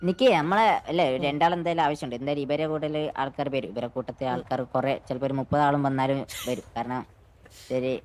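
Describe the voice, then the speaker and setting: A man's voice: medium pitch, fairly even intonation, a little fast, darkish tone. A man arguing in character in an online game, insistently repeating his points. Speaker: gang member in an online role-play game. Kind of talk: casual in-character argument during GTA role-play.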